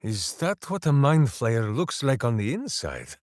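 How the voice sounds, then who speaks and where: An actor voicing a hearty, simple-minded, boastful heroic berserker in a video game, with a bombastic, theatrical way of talking. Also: male voice, mid-range voice, wildly swooping pitch, unhurried, darkish tone, dry recording, studio quality. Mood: hearty, earnest. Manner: bombastic, theatrical, speaks of himself in third person. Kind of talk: video game character voice lines.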